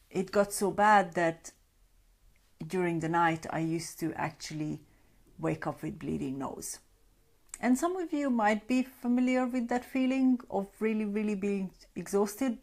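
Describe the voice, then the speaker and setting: A woman talks to viewers of a live stream as a sleep coach. Her voice sits a little low and has a gravelly, fry-edged texture. She chats loosely, with many fillers, and greets viewers by name. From Hungary. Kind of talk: Facebook Live talk to a group. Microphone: webcam or phone on a live stream.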